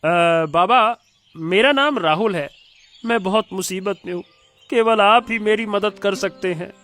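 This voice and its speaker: A man's voice: high-pitched, measured pace, a bit bright, soft background music. A man playing a poor, gullible husband who pleads deferentially and hesitantly in a Hindi cartoon. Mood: pleading. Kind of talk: Hindi cartoon moral story acting.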